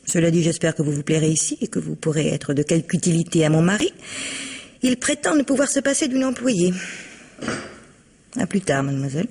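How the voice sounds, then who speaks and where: An actress playing a haughty, spiteful invalid mistress of the house in a French radio drama, icy and cutting. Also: female voice, fairly low pitch, expressive, brisk, long pauses, a little mellow, slightly rough. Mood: icy politeness. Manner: icy, cutting, falsely polite.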